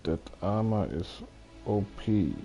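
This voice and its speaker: A man's voice: on the low side, lively intonation, slow, gravelly, warm tone, muffled recording, soft background music. A man chatting casually as he livestreams a video game, often hesitant and thinking aloud. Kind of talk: casual rambling game stream commentary, chatting with viewers.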